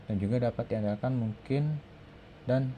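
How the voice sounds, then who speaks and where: A man with an Indonesian accent reads out an academic article review in a halting, hesitant way. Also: mid-range voice, highs cut off, slight background noise. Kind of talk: scripted academic presentation, read aloud.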